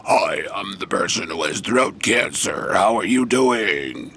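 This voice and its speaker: An electronic voice box speaker in a comedy bit. The voice is low, very slow and mechanical, each word drawn out over faint noise. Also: male voice, expressive, vocal fry, a bit bright, full character voice.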